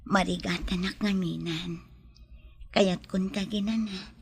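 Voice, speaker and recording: A woman's voice: mid-range voice, fairly even intonation, a little slow, vocal fry, husky, exaggerated voice. A woman playing a fearful, loving, pregnant young wife in an audio drama, speaking with emotional, pleading delivery. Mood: tender, wistful.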